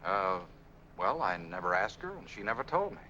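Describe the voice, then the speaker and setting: An actor playing an earnest, lovestruck American engineer in a 1940s film noir, speaking in a conversational manner. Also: male voice, sits low, big pitch swings, normal speed, bright, forceful tone, highs cut off, slight background noise, full character voice. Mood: earnest, wistful. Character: earnest, lovestruck male engineer, sentimental and solicitous. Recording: 1940s film soundtrack, faint music.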